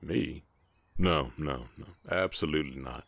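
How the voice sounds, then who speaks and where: A man with an American Southern accent voicing a gentle, shy, gruff orc ranch hand in a romantic western audio roleplay, speaking haltingly and protectively. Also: extremely low pitch, dry recording, exaggerated voice. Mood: reluctant, protective. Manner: bashful, halting, softly protective.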